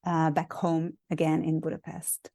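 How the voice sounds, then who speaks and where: A woman in her forties speaks English with a Hungarian accent, her voice lowish for a woman. She talks thoughtfully, pausing with ums and restarting phrases. The sound is dry and close. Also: monotone, vocal fry, mellow, no room sound. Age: 40s. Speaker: guest, actress and acting coach. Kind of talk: casual interview chat.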